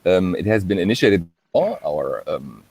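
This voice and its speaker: A man's voice: sits low, big pitch swings, moderate pace, gravelly, a little mellow, slightly rough, no echo. A man hosting an informal video-call panel, talking hesitantly with many ums and uhs. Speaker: panel host. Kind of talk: informal online panel talk.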